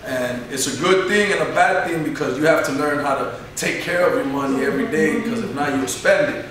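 A man's voice: fairly high pitch, a little fast, a bit bright. A man in his thirties talks in an earnest, motivational way, leaning on "you know" as he answers interview questions. He is heard through a camera mic some distance away in a barbershop that rings with echo, and faint room noise sits underneath. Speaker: barbershop owner. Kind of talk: sit-down interview. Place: barbershop, indoors. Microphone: camera mic from across the room. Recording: echoey room, mic far off.

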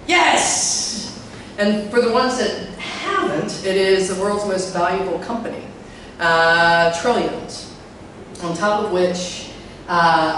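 Deep-voiced woman in an echoey hall. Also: a bit bright, far from the mic, slight background noise. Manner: chatty, many fillers, asks the audience questions. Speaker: conference speaker. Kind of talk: live tech talk to an audience. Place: large hall with a projector screen. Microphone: handheld mic.

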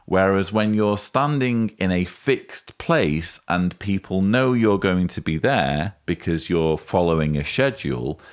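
A man in his forties hosts a commentary with a very low voice. He speaks slowly and in a measured, wry way, close to a studio microphone. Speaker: host. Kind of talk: commentary, rebuttal to camera. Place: indoor study lined with bookshelves. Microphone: studio microphone on boom arm, close.

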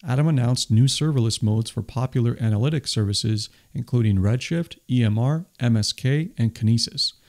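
Guy doing a scripted tech news voice-over, upbeat and explaining stuff, mid pitch. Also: moderate pace, hardly any pauses, a little mellow. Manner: enthusiastic, explanatory. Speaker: tech video host. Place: voice-over, not recorded on the stage pictured.

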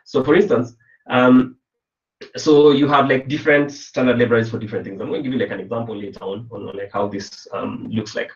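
A man's voice: mid-range voice, steady tone, brisk. A young man from Kenya giving a casual online programming lesson, chatty and loose, full of fillers and restarts. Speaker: programming instructor. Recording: roomy, distant, dull webcam sound.

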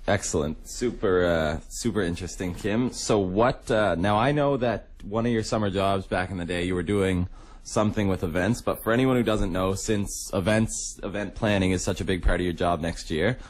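A man's voice: low-pitched, moderate pace. A young man, co-hosting a radio show with casual interview questions. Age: young adult. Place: radio studio.

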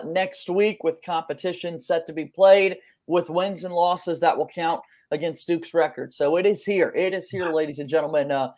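Young man, twenties, higher-register male voice, sports podcast hosting, presenter delivery, home video call. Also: darkish tone. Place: home bedroom or office, indoors. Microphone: video call, webcam framing, wired earbuds.

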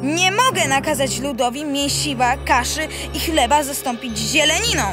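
Woman as a high-voiced boy playing king. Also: moderate pace, over music, natural voice. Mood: courteous, formal. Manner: formal, declamatory when playing king. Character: young boy playing a firm, courteous king.

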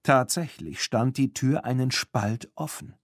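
A man's voice: medium pitch, unhurried, no echo, clean studio sound. A man narrating a scripted children's story as an audiobook reader.

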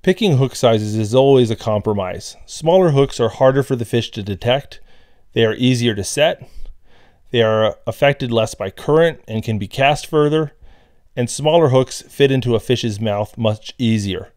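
A man's voice: mid-range voice, normal speed, darkish tone, no room sound, studio quality. Plain-spoken man explaining fishing tackle. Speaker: fishing instructor. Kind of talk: scripted tutorial voice-over.

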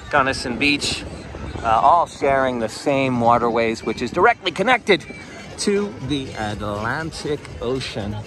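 A man narrating a walking tour outdoors on a busy seaside boardwalk, in an informative tour-guide manner with drawn-out emphasis, recorded on a handheld camera mic as he walks. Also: fairly high pitch. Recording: outdoor street and crowd noise.

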